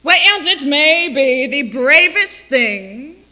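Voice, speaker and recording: A woman playing a brash female Hollywood agent in a dramatic stage monologue, speaking deliberately with long pauses. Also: high-pitched, lively intonation, bright, forceful tone, full character voice. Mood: wistful. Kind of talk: theatrical dramatic monologue for speech competition.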